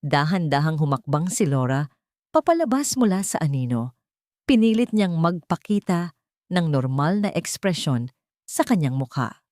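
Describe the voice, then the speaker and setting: A woman narrates a dramatic audio story in a low voice. Her delivery is measured and deliberate, like scripted storytelling. The sound is dry, with little room around it. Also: big pitch swings, darkish tone, no room sound.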